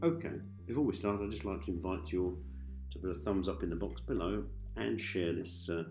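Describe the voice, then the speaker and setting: A man guiding a sleep hypnosis session in a calm, gentle manner, giving repetitive soothing instructions with pauses. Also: deep voice, roomy sound, faint music behind. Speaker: hypnosis host, meditation guide.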